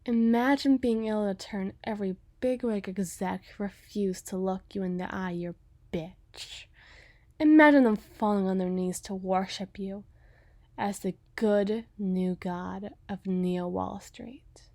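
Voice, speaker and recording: A menacing, coolly seductive female crime boss, played by a woman in an ASMR audio roleplay. She speaks slowly and deliberately, often sinking toward a whisper. The sound is very dry, and her delivery is theatrical and scheming. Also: medium pitch, warm tone, dry recording, natural voice. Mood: coaxing, seductive.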